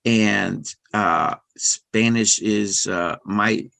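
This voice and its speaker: A middle-aged man speaking as a podcast guest, talking casually with many fillers, restarts and soft laughs. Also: on the low side, drawn-out words, creaky, no echo. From United States. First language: English. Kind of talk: casual interview conversation.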